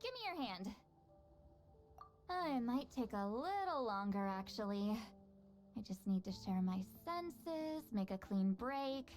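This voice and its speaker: A woman's voice: on the high side, big pitch swings, takes it slowly, noisy background. A woman playing a youthful, proud, aloof shaman in a video game, with a girlish voice and a dry, casual manner. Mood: focused. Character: two-century-old yet youthful female shaman, aloof, proud, easily flustered. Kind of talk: video game character acting.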